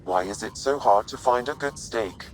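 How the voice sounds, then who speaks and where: A man voicing a polite, literal-minded starship artificial intelligence in a video game, measured, formal and deadpan. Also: mid-range voice, a little hiss or hum, lightly acted. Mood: calm, formal.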